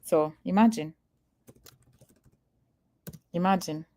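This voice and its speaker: A woman vlogger from Kenya talks straight to her viewers in a loose, chatty way. Her voice sits a little low for a woman, and she leans into her warnings, saying them again and again. She laughs easily between points. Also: takes it slowly, dark tone. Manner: earnest, emphatic, repeats warnings, laughs easily. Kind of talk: casual livestream talk to viewers.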